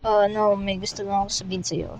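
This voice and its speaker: A woman voicing a sly, scheming adult man in an amateur fan dub, talking in a smooth, coaxing, conspiratorial way. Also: medium pitch, flat delivery, a little fast, a little mellow, close to an everyday voice, low-quality recording. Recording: music playing underneath.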